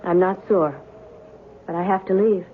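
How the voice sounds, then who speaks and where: A woman's voice: on the low side, steady tone, a little slow, close to an everyday voice. An actress playing a mysterious, aloof woman with a cool, curt, knowing manner in an old-time radio drama. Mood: curt, dismissive. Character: mysterious, aloof stranger calling herself a reporter, coolly knowing. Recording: tinny, band-limited old radio recording, faint noise.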